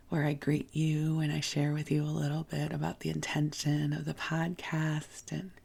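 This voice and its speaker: A podcast host speaks in a soft, intimate bedtime register at a medium pitch with very little rise and fall. The delivery is slow and soothing, often slipping toward a whisper. The voice is breathy and husky, gentle throughout.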